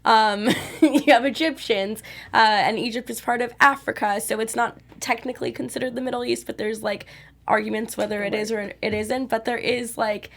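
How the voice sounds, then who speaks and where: Creaky-voiced woman co-host chatting. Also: on the high side, steady tone, moderate pace, a bit bright. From United States. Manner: chatty, many likes and I means. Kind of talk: casual TV recap chat.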